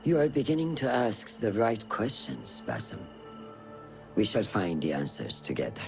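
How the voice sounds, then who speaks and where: An actor playing a stern, wise mentor of a hidden assassin order in a video game, speaking gravely, formally and deliberately. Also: male voice, on the low side, huge pitch swings, faint music behind, exaggerated voice, low-quality recording. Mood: probing. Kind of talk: dramatic video game acting.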